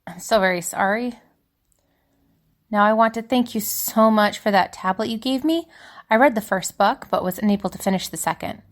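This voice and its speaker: A woman as an earnest young spellcaster, medium pitch. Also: normal speed, lightly acted. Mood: apologetic. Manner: earnest, apologetic. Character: earnest young female spellcaster writing to a dear friend. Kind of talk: dramatic letter reading in fiction podcast.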